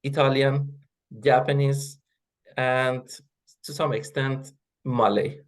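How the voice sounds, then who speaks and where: A middle-aged man whose first language is Persian speaks in English as an interview guest. He answers in measured steps, stopping often on fillers and starting words over before finishing a thought. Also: medium pitch, fairly even intonation. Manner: hesitant, many fillers and restarts. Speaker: guest, associate professor of linguistics.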